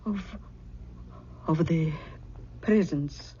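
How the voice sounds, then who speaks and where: A dull, faintly hissy vintage radio recording of a gentle older aunt. Her voice is mellow, and she speaks with careful, halting concern. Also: low-pitched. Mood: careful, uneasy. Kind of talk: old-time radio drama acting.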